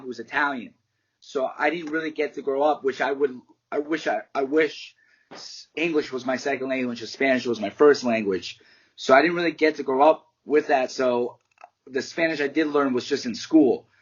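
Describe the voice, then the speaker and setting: A man's voice: medium pitch, slightly rough, highs cut off. A man, a fighter and martial arts teacher giving candid, rambling interview answers and swearing casually. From United States.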